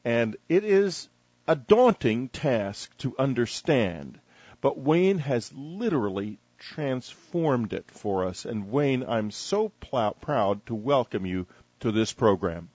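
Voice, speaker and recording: An older man hosting an interview show, talking conversationally with deliberate, emphatic phrasing. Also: mid-range voice, big pitch swings, darkish tone, slightly rough, no echo, lo-fi sound. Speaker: radio show host interviewing a guest.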